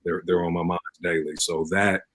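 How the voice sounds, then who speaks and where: A man talking earnestly as an interview guest, in measured, hesitant phrases. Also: low voice, flat delivery, fairly bright tone, no echo. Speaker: interview guest, cast member. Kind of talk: earnest casual talk.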